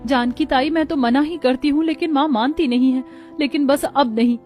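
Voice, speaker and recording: A woman's voice: sits high, moderate pace, a little mellow, faint music behind, lightly acted. A woman voicing a dutiful, determined daughter in her twenties in a cartoon story, earnest and respectful. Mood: earnest resolve.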